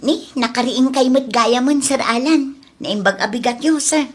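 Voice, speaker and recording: A woman's voice: sits high, moderate pace. A Filipino-accented woman playing an eager, flirtatious housemaid in a comedy drama, giggly and coy. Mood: flirty, coy. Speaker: actor.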